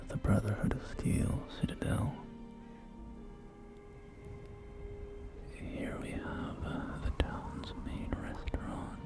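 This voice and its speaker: A man playing a folksy post-apocalyptic real estate agent in a whispered ASMR roleplay, with gentle, hesitant, reassuring sales patter. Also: close to an everyday voice. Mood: reassuring, informative. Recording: close whisper over soft music.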